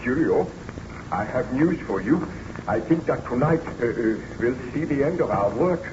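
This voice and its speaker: An actor playing an obsessed, weary scientist in a theatrical, deliberate old-time radio drama style, on a muffled, noisy recording. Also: male voice, mid-range voice, animated, close to an everyday voice. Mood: brooding, intense. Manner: theatrical, deliberate, brooding narration.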